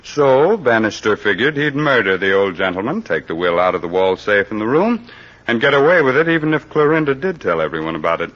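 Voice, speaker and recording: An actor playing a brisk, wry American police captain in 1940s radio drama style, clipped and commanding, on a muffled old recording. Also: male voice, on the low side, sing-song, a bit bright. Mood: matter-of-fact.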